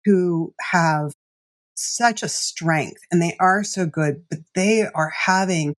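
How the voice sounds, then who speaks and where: Lady coach on a podcast, lowish voice, tells stories and laughs a lot. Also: takes it slowly. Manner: animated storyteller, laughs often. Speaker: executive coach and author. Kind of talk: podcast interview guest.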